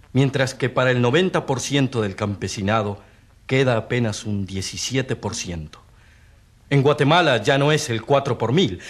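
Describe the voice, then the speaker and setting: Earnest husky country priest. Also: male voice, mid-range voice. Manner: earnest, didactic, rhetorical questions. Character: earnest, socially committed male country priest on trial. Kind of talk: radio drama courtroom testimony.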